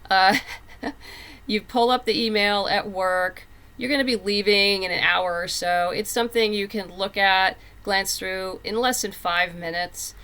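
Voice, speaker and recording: Bright-voiced woman chatting as interview guest. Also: mid-range voice, fairly even intonation, measured pace, clear, resonant tone. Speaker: interview guest, comic book writer. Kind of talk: casual conversational interview.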